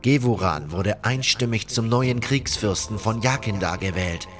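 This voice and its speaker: A man's voice: lowish voice, expressive, a little slow, a bit bright. A man voicing solemn elders of an ancient city council in formal, grave fantasy game dialogue. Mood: grave, urgent. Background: music.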